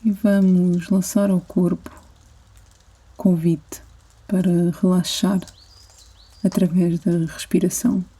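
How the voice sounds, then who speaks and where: A woman with a European Portuguese accent leads a guided sleep meditation. Her voice is very dark and mellow, slightly husky, and she speaks very slowly, gently leaving long pauses between phrases. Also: fairly low pitch, flat delivery. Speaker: yoga nidra instructor.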